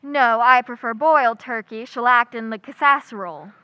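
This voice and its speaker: A crisp, bright-voiced schoolteacher played by a woman in an old-time radio comedy. She is quick-witted and dryly sardonic, tossing off wisecracks. The sound is dry and a little dull. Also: a little high, no echo. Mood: wry, playful.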